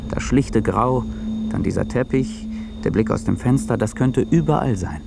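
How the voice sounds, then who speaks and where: An actor playing a stressed, disoriented businessman in a radio drama, halting and agitated, sometimes breaking into whispers. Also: medium pitch, vocal fry, very noisy, natural voice. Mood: confused, panicky. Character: disoriented, stressed businessman lost in anonymous corridors. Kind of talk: radio drama acting, narration and inner monologue. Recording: loud music under the voice. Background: music.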